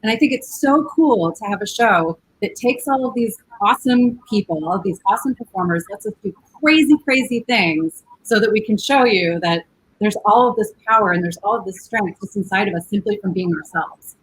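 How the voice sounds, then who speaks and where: A woman's voice: medium pitch, brisk, breathy edge, low-quality recording. A woman cast member explaining her show in a casual video call interview, articulate and enthusiastic.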